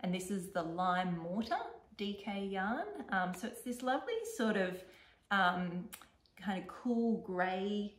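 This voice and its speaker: A middle-aged woman with an Australian accent chatting casually as a knitting podcast co-host, often pausing to think aloud. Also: medium pitch. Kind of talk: casual chat showing knitting projects. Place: living room, seated on a couch. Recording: echoey room.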